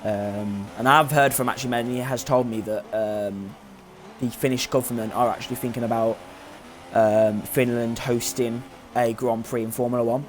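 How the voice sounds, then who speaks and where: A man in the commentary seat of an online racing league, with a voice of middling pitch. He chats loosely and hesitantly, stopping to correct himself. A faint hiss of noise sits under his voice. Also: steady tone, normal speed. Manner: hesitant, filler-heavy, self-correcting. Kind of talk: live race commentary chat.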